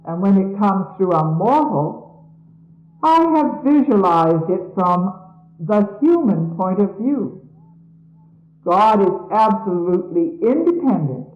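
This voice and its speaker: A woman reading religious messages like a sermon, solemn, measured and declamatory, in a 1970s archive recording. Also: medium pitch, very animated, reverberant, highs cut off. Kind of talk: scripted scripture reading, sermon-like.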